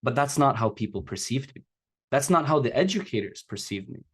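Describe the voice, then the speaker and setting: Man, middle pitch, casual earnest talk, video call. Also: expressive, brisk, slightly rough. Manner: casual, earnest, conversational with a few laughs. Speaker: secondary teacher and speaker. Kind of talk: personal talk to an audience. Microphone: remote video call.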